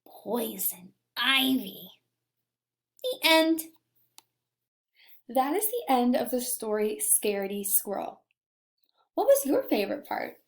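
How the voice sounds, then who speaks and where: Female schoolteacher for read-aloud lessons: higher-pitched, very lively intonation, dramatic emphasis, speaks straight to children. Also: takes it slowly, creaky, long pauses. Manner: animated, dramatic emphasis, addresses children directly. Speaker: teacher reading aloud to pupils.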